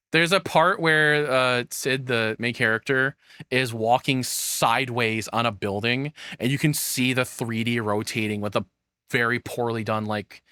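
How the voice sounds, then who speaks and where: A man's voice: medium pitch, sharp tone, dry recording, clean studio sound. A man giving casual, opinionated commentary, rambling and swearing bluntly as he goes. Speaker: streamer reacting to a video.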